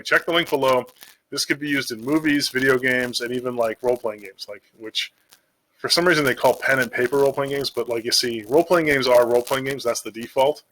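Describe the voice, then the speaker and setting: A man's voice: mid-range voice, fairly bright tone, poor audio. A man talking off the cuff in a casual, slangy, digressive monologue, like a video creator chatting about games. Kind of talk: casual rambling monologue about game monsters. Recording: noticeable background noise with clicks.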